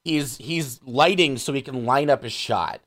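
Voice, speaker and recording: A man chatting casually as a podcast panelist, critiquing films in an animated, sarcastic way. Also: mid-range voice, a little slow. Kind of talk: casual film criticism chat.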